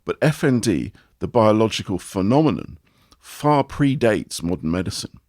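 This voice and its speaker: A man giving a measured, expressive reading of a long article aloud. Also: lowish voice, no room sound, studio quality. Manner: measured, expressive reading with lively rise and fall. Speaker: reader of an article. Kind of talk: read-aloud article narration.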